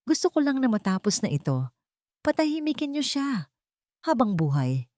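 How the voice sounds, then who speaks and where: A woman's voice: a little high, sing-song, normal speed, mellow, no echo, voice pushed up. Woman doing a ruthless rich boss lady in a story, icy and bossy, dry sound. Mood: cold, menacing. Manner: cold, emotionless, commanding. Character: cold, ruthless rich businesswoman giving orders. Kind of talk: dramatic audio storybook.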